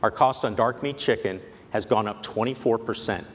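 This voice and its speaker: A man in his forties, a company executive giving a prepared keynote speech on a large convention stage, measured and persuasive. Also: lowish voice. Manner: measured, earnest, persuasive delivery. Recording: long hall reverb, faint background noise.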